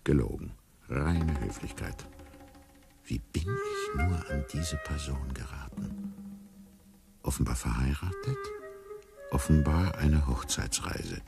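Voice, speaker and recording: An actor playing a bewildered amnesiac man in a radio play, musing inwardly in a hushed, wryly detached way. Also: a bass voice, lively intonation, takes it slowly, creaky, pauses often, loud music behind the voice, full character voice. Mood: wry unease. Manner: hushed, musing, often near whispering. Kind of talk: radio play inner monologue.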